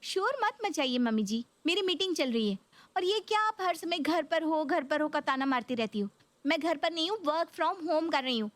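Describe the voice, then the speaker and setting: A woman's voice: high voice, lively intonation, moderate pace, no echo. A woman voicing an exasperated, pleading young working daughter-in-law in a Hindi cartoon story. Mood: irritated.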